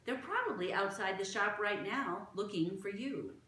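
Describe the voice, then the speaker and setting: A woman voicing a mild, gentle small old gentleman who speaks softly and calmly. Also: medium pitch, normal speed, pitched above the performer's own voice. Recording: roomy echo.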